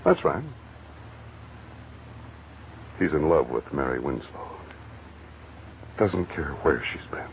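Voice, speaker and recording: An actor in a 1940s radio drama playing a low-key, world-weary but kindly private investigator, laconic and casual. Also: male voice, animated, a little slow, heavily acted. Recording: muffled, noisy old radio broadcast recording.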